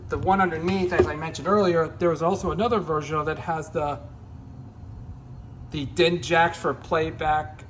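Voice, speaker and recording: A man giving a casual, unscripted hands-on demonstration as a gear hobbyist, in a halting, repetitive, rambling way. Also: on the high side, fairly even intonation, noisy background.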